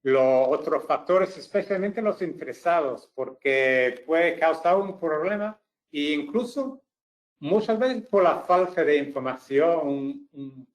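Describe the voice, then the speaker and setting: Slow, hesitant non-native man. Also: on the high side, lively intonation. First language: not Spanish. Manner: hesitant, searching for words, apologetic. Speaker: webinar panelist. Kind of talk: halting expert presentation.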